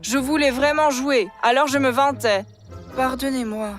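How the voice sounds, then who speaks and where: Cartoon dub: flustered show-off boy woman actor, high, hesitant, expressive, over prominent music. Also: normal speed, close to an everyday voice. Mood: flustered, sheepish.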